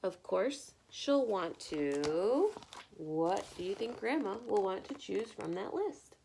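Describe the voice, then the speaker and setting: A woman reading a picture book aloud like a teacher, expressive and encouraging, pausing to put questions to young listeners. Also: medium pitch, creaky, slight background noise.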